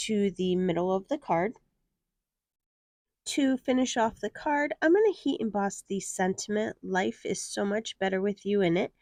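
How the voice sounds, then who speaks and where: Warm-voiced woman with a stuffy nose. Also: mid-range voice, dry recording, studio quality. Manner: cheerful, chatty step-by-step explaining. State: nasal from a head cold. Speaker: card-making channel host. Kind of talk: crafting tutorial narration.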